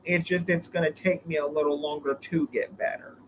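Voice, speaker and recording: A man hosting a casual live stream, his voice hoarse from being sick, rambling with restarts and fillers. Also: a little high, fairly even intonation, a little fast, slight background noise, low-quality recording. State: hoarse from illness.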